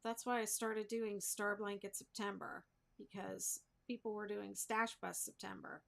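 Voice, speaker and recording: A woman's voice: medium pitch, flat delivery, unhurried, creaky. A woman chatting casually and informally, thinking aloud in a self-deprecating way as she hosts a crafting livestream. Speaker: crochet livestream host. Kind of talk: casual rambling chat with viewers while crafting.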